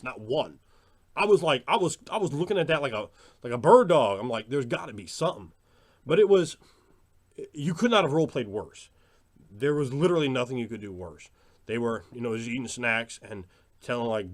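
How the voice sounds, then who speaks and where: A man giving a casual, opinionated vlog monologue as a tabletop gaming creator, emphatic and repeating phrases for stress. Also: medium pitch. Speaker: tabletop role-playing video creator.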